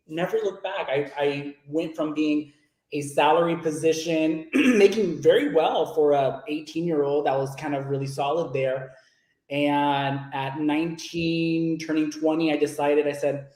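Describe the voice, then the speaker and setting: A man's voice: fairly high pitch, unhurried, a little mellow, echoey room, poor audio. A young man from Miami on a video call, chatting and telling stories as a guest, laughing easily. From Miami, Florida. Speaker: guest, loan originator. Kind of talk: casual video chat interview.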